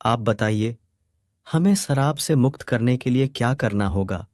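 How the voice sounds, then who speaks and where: A brave young boy from a cartoon storybook, played by a man at middle pitch. He speaks boldly, calming his friend and eager to explore, in a close, dry voice. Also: a little slow, no echo, close to an everyday voice. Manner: bold, reassuring.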